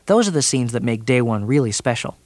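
A man's voice: medium pitch, big pitch swings, a little fast, darkish tone, dry recording. Dry-voiced male TV critic. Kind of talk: scripted broadcast review.

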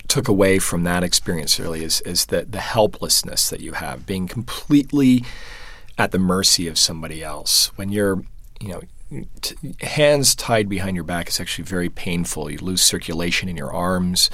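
A man being interviewed as a photojournalist, answering thoughtfully and haltingly, with many restarts and fillers. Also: low voice, lively intonation, a bit bright, dry recording. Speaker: photojournalist guest.

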